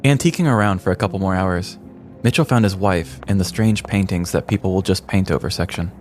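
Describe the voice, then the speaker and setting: A man's voice: sits low, a little fast. A man hosting a podcast, narrating a scripted comic story in a dramatic, ornate, mock-serious way. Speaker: podcast host. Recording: music bed behind the voice. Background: background music.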